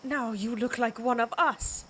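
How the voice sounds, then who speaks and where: A menacing vampire warrior woman of the ancient tribes, acted by a woman for an audio roleplay, speaks slowly over background noise. She is proud and predatory, toying with her listener in a theatrical, taunting manner. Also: fairly high pitch, a bit bright. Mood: predatory amusement. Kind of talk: dramatic audio roleplay acting.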